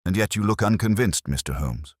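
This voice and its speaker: Male narrator as weary noblewoman, raised pitch, earnest, trembling, dignified, storybook drama, dry sound. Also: animated, brisk, no room sound. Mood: weary, doubtful. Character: grieving, exhausted noblewoman, anxious and dignified.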